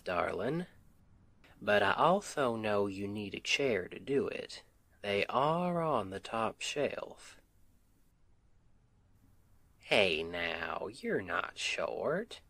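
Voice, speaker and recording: A man performs a doting husband from an ASMR roleplay with a Southern US accent. His voice sits fairly low and moves at a very slow, easy pace. He talks in a folksy, tender way, playful and affectionate toward his partner. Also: big pitch swings, no echo, exaggerated voice. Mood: playful, teasing. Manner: affectionate, unhurried, folksy, gently teasing. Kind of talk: ASMR roleplay, intimate domestic scene.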